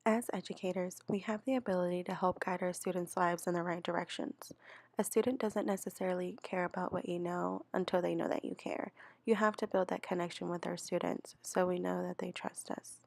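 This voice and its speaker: A woman's voice: lowish voice, fairly even intonation, fairly quick, vocal fry, darkish tone, no echo. A woman in her twenties from El Salvador, earnestly reading a scripted narration about teaching. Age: 20s. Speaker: student teacher. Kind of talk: scripted narration for a class video.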